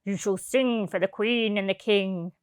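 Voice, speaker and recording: Woman putting on a sly old circus boss, slow drawl. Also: medium pitch, steady tone, clear, resonant tone, no room sound, lightly acted. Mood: oily, boastful. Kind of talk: children's picture book villain voice.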